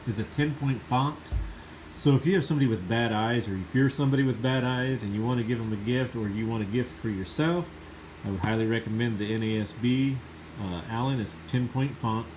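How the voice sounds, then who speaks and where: A man's voice: mid-range voice, fairly quick, darkish tone, slight background noise, low-quality recording. Sniffly man reviewing a Bible. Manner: enthusiastic, rambling with fillers. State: slight cold, sniffling. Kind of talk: casual product review.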